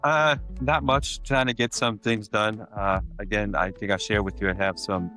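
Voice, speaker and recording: A man's voice: fairly low pitch, steady tone, normal speed, bright, forceful tone, faint music behind. A middle-aged man from Vietnam, a guest inventor, chatting in a friendly, talkative way and laughing often. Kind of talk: casual interview chat.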